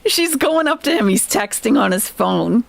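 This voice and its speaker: Host: woman, animated chatty commentary, dry close sound. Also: fairly high pitch, normal speed, creaky, dry recording. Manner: chatty, explaining to viewers. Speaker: host reacting to videos. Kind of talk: casual reaction commentary.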